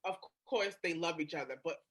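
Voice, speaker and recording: A woman's voice: sits low, fairly quick, fairly bright tone, husky. A woman co-hosting a podcast in candid, casual chat, speaking emphatically and repeating phrases to drive a point home. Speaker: podcast co-host.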